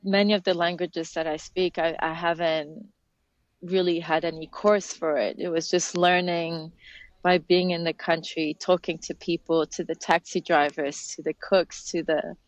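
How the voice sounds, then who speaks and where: A woman with a fairly low voice talks gently and without hurry, repeating words as she finds her way. Her sound is close and dry on a video call, with no room echo. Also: flat delivery, moderate pace. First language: French. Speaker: guest, nature therapy educator. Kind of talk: reflective interview talk. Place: outdoors among trees.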